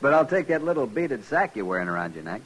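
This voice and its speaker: An actor playing a swaggering, mocking young army lieutenant in a 1950s radio western. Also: male voice, medium pitch, sing-song, fast talker, slight background noise, close to an everyday voice. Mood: taunting. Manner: mocking, needling. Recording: vintage 1950s radio recording.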